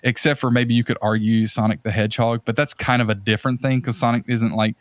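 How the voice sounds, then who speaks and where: A man hosting a podcast in casual conversation, talking in a halting, rambling way as he searches for words. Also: medium pitch, level tone, no echo. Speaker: podcast host.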